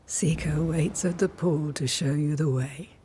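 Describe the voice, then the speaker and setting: Game witch leader: lowish, very breathy, measured, ominous, cryptic guidance. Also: steady tone, dark tone, exaggerated voice. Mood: grave, probing. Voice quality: breathy, husky. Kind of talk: dramatic video game acting.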